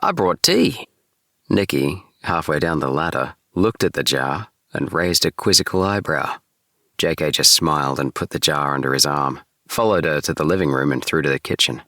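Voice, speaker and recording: Deep-voiced man reading calmly. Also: moderate pace, no room sound, studio quality. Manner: calm, steady. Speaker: narrator. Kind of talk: audiobook reading.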